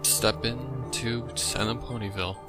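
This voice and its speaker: A man's voice: mid-range voice, fairly even intonation, a little slow. A man hosting a reaction video, commenting in a casual, informal and rambling way. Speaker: host of a reaction channel. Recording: music playing behind the voice. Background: music.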